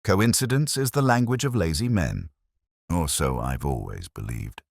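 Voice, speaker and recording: A man narrating a first-person detective story in a measured, brooding, literary way. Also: low-pitched, expressive, vocal fry, no echo, clean studio sound.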